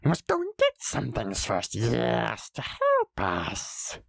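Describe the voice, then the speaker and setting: Slimy ancient creature, hissing, whispery, wheedling, mutters to himself, lively pitch, dry sound. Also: male voice, slow, vocal fry, no echo, heavily acted. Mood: whispering, scheming.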